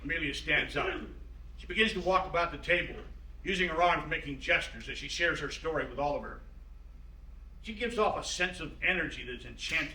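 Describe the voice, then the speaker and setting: A man's voice: on the high side, brisk, sharp tone. A man reading stage directions as scripted narration for a staged play reading. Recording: echoey room, distant mic, noisy.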